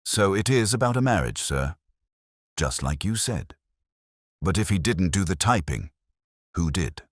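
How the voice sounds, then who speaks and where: A man's voice: low voice, animated, a little slow, no echo, clean studio sound, voice pushed up. A man voicing an eager, deferential police sergeant, earnest and questioning, in a detective story.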